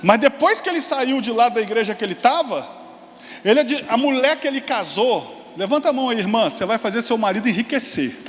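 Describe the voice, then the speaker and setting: A pastor in his forties preaches with a very high, lively voice that leaps up in excited exclamations and drops into quick asides. His voice rings with a long reverb through a large church hall, over a faint hum of room noise. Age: 40s. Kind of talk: sermon.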